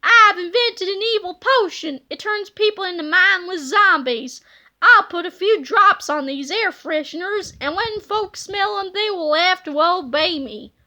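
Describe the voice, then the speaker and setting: A woman's voice: very high-pitched, measured pace, sharp tone, heavily acted. A woman voicing a boastful, scheming villain cat inventor from a children's comic, with a theatrical, commanding delivery. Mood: gloating.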